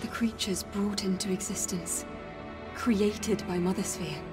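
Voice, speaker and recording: An actress playing a serious, pensive young female android soldier in a video game, speaking soberly and deliberately. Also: mid-range voice, fairly even intonation, distant mic, music in the background, natural voice. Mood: grave realization. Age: young adult. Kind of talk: video game cutscene acting.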